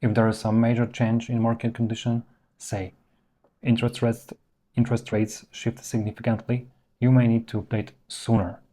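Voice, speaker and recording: A man presenting an instructional explainer in a measured, structured way, stressing his key points. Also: medium pitch, monotone, mellow. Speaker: presenter of professional explainer videos. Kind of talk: instructional explainer, semi-scripted narration to viewers.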